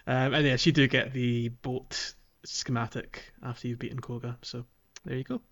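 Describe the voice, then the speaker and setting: An easygoing man with a mid-range voice co-hosts a casual game podcast. He agrees readily, chuckles, and fills pauses with ums. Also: medium pitch, level tone, fairly bright tone. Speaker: podcast co-host. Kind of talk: casual chat about a video game.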